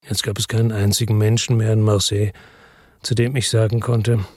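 An actor playing a weary, determined man who narrates his crime story in a measured, understated, rough-edged way for German radio drama. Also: fairly low pitch, little pitch movement, a little mellow, no room sound, studio quality, natural voice. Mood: grave, measured. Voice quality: husky, rough.

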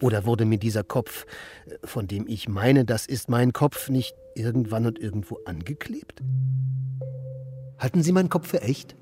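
An actor playing an aimless, brooding eccentric in a German radio play, musing and questioning in a deadpan manner. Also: male voice, fairly low pitch. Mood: puzzled, musing. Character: aimless, brooding man, eccentric idler and narrator. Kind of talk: German radio play, dialogue and inner monologue.

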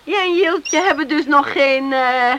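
A woman's voice: sits high, measured pace. A woman playing a teasing, nosy, motherly country woman in a radio play, probing in a hesitant way. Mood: probing, cheerful. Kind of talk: Dutch radio play acting. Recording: noisy old radio recording.

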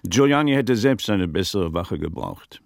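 A grumpy, imperious scholar-seer in a radio drama. His voice is low and recorded close and dry. He speaks in florid, sardonic phrases and gives orders as if used to being obeyed. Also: very animated, unhurried, vocal fry, dry recording, heavily acted. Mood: sardonic, probing. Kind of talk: German radio crime play acting.

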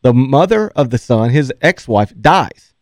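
A man's voice: mid-range voice, sing-song, a little slow, darkish tone, no echo, studio quality. A man hosting a sports talk radio show who tells rambling stories and laughs often.

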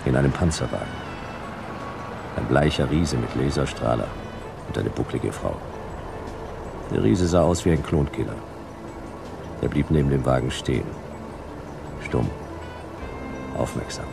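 A laconic private eye in a radio drama, with clear music behind him. His voice is extremely deep and gravelly. He talks in clipped, deadpan phrases. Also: a little slow, pauses often, heavily acted. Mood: brooding, laconic. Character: laconic, hardboiled private detective narrating his case. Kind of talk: hardboiled radio drama narration.

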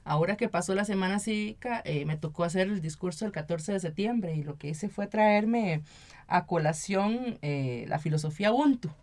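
A woman's voice: on the low side, normal speed, a little mellow. A woman with a Costa Rican Spanish accent speaking as an activist guest in an interview, explaining things and often checking in with a short tag question. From Costa Rica. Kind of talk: conversational interview.

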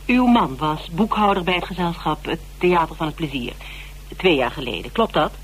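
An actress playing a measured, cautious investigator in a radio play, speaking deliberately with hesitations. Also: female voice, fairly low pitch, close to an everyday voice. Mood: formal, probing. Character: questioning investigator, measured and cautious, sometimes sardonic. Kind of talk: naturalistic radio play acting. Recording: noisy old radio broadcast with hum.

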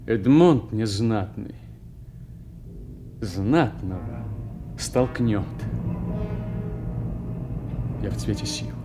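A man playing a scheming, defiant young nobleman in a brooding, emphatic theatrical soliloquy. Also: fairly low pitch. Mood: scheming, exultant. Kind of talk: theatrical soliloquy, classical radio drama.

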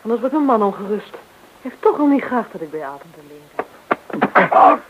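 A woman's voice: mid-range voice, big pitch swings, moderate pace, lightly acted. An actress playing a worried married woman travelling by train in a radio drama. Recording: dull, noisy radio play recording.

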